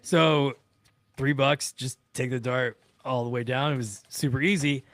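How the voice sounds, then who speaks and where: A man chatting informally in a studio as a co-host, telling stories in an animated way and quoting what people said. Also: medium pitch, unhurried, dry recording. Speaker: radio show co-host and producer. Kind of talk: casual studio chat and anecdote telling. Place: dry-sounding studio.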